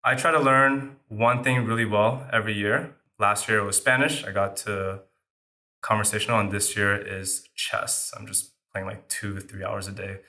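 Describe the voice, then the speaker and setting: A man whose first language is French talks through his startup story in a loose, casual way, leaning on "like" and "right". His voice sits fairly low, yet it has a bright, forceful edge. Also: little pitch movement, normal speed. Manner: casual, uses many fillers like "like" and "right". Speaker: startup founder and guest. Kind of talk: podcast interview answers.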